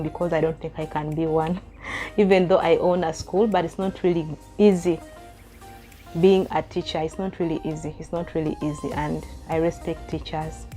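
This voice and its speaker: Low-voiced Ugandan woman chatting to camera. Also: mellow. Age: 30s. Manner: chatty, reads questions aloud then answers with asides and light laughs. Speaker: vlogger. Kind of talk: casual Q&A chat to camera.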